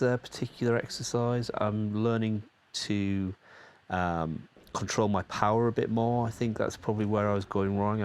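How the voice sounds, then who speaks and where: A man's voice: lowish voice, slight background noise. A man hosting his channel, giving casual, rambling voice-over commentary with frequent hesitations, his voice still a little stuffed up and tired from a cold. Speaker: channel host.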